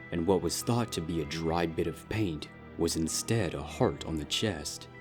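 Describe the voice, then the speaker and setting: A man with a deep voice narrates dramatic fiction. He reads scripted prose in the narrator's role, with music playing underneath.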